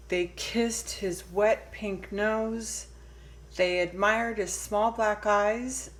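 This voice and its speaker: A woman reading a children's picture book aloud in a deliberate way, pausing for emphasis. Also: lowish voice, poor audio. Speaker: storybook reader.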